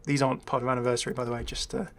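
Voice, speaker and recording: A man's voice: medium pitch, little pitch movement, very fast. Male gaming video host, mid-range voice, casual and unscripted, hesitant thinking-aloud delivery with wry asides.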